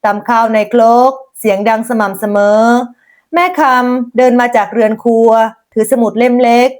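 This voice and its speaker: Woman, storyteller, higher-pitched voice, scripted folk tale narration. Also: fairly even intonation, normal speed, a little mellow.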